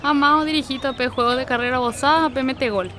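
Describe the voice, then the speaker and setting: A brisk village fair organizer. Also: female voice, high-pitched, fairly even intonation, sharp tone, slight background noise. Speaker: meeting organizer. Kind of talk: radio drama acting.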